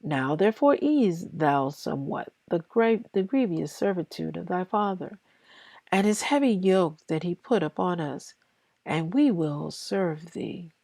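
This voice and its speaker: Lady reading bible out loud real slow, lowish voice, halting phrases, dry close sound. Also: expressive, vocal fry, dry recording. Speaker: scripture reader. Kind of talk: reading scripture aloud.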